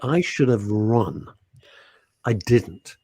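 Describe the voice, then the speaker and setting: Husky, chatty radio host. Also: male voice, on the low side, very slow, no room sound, studio quality. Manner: chatty, animated storyteller. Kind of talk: casual radio chat. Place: radio studio. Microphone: studio mic.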